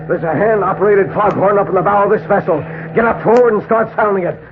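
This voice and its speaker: A hot-tempered sea captain barks urgent orders in vintage radio-drama style. The voice is pitched very high for a man, on a tinny, echoey recording. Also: fast talker, a little hiss or hum. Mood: urgent, commanding. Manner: barking, urgent, theatrical. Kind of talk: old-time radio drama acting.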